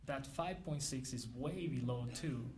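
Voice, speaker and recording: A man from Mexico, giving an academic lecture in an earnest, measured way with frequent restarts. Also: mid-range voice, a little mellow, slight background noise.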